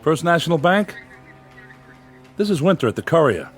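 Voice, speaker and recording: An actor playing a weary, skeptical small-town newspaper editor in a radio drama, dry and plain-spoken. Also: male voice, a little high, very animated, moderate pace, soft background music. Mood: brisk, intrigued.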